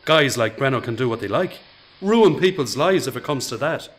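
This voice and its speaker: Insistent, frustrated working man, animated voice played by a man. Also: medium pitch, normal speed, lightly acted. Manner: insistent, pleading. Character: frustrated, principled male worker urging his friend. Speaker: actor in a radio play. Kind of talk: radio drama acting.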